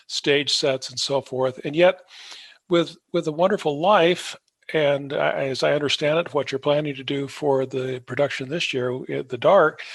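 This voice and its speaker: A man's voice: on the high side, a bit bright, no room sound. A man interviewing a guest in a conversational way, recapping answers and putting questions as a podcast host.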